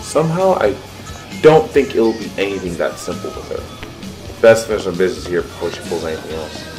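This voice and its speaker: A man's voice: low voice, animated, a little fast, low-quality recording. A man playing a weary, sarcastic young secret superhero, exasperated and dry, in an audio drama. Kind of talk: audio drama acting with first-person narration. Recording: music under the voice.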